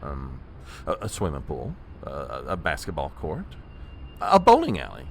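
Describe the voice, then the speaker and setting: An actor playing a fussy, anxious, long-suffering presidential aide in a comedic audio drama. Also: male voice, a bass voice, huge pitch swings, normal speed, creaky, a little hiss or hum, heavily acted. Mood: patient explaining. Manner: fussy, deferential, hesitant.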